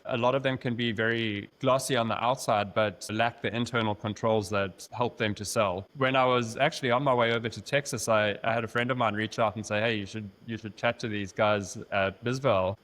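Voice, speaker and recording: A man with a South African accent, a company vice president speaking as a podcast guest in a measured, steady way. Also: mid-range voice. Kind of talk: casual interview chat.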